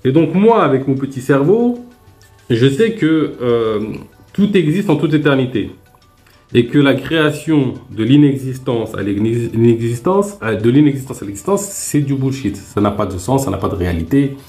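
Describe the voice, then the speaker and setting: A man's voice: medium pitch, lively intonation, moderate pace, mellow, echoey room, soft background music. A man hosting a live stream, earnestly explaining his views in a casual spoken monologue.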